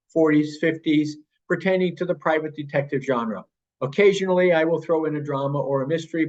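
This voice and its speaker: Man, show host, higher-than-average voice, slightly lo-fi sound. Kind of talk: spoken show introduction. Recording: slightly dull, lo-fi voice recording.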